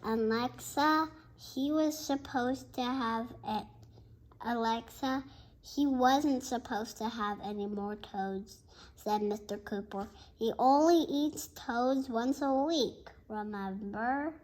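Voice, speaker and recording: A child reading a bedtime story aloud in an expressive, halting way, with asides to listeners. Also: mid-range voice. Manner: expressive, halting, shouts out dialogue. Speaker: storybook reader.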